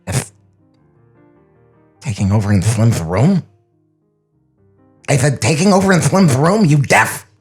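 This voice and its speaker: A man acting a put-upon, halting cartoon director with a husky voice and a grudging, exasperated manner at a table read. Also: medium pitch, animated, faint music behind, full character voice.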